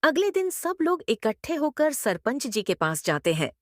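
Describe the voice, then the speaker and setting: Animated high-pitched woman storyteller. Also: moderate pace, dry recording, clean studio sound. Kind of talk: scripted horror story narration.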